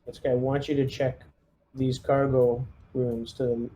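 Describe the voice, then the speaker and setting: A man's voice: mid-range voice, little pitch movement, moderate pace, mellow, slight background noise, low-quality recording. A man playing a tabletop game as squad leader, mixing casual chat with orders to the group over voice chat.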